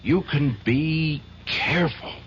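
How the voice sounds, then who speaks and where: An actor playing an earnest, troubled defense attorney in a 1970s radio drama, weary at times and urgently pleading at others. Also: male voice, a little high, slow. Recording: dull old radio recording, faint hiss.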